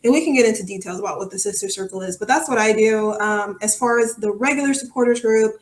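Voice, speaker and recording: A woman speaks casually as an interview guest, informal and a little self-deprecating. Her pitch is average for a woman, and the room gives her voice a clear echo. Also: fast. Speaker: podcast guest, soccer supporter. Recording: echoey room sound.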